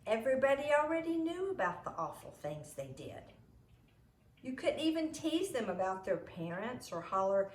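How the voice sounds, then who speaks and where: A woman reading a children's book aloud as a storyteller, in an expressive way. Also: medium pitch. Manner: expressive, acts out the dialogue. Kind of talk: storybook read-aloud narration. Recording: roomy echo, noisy home recording.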